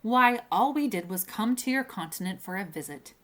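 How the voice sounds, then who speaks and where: A woman's voice: medium pitch, animated, normal speed, lightly acted. A woman acts out a small male pterodactyl from a storybook. He is genteel and fussy, forever courteous and easily affronted. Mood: earnest, affronted. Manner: fussy, old-fashioned genteel politeness.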